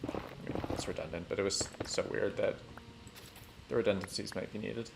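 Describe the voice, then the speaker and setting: A man chatting casually on a gaming stream, thinking aloud in a halting, rambling way with dry, self-deprecating humour. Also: fairly low pitch, fairly even intonation, gravelly, noisy background. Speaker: livestreamer playing a building game.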